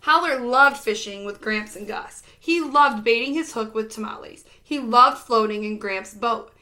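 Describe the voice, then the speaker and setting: Female storybook reader, high-pitched and very bright, expressive narration for young children. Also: a little slow.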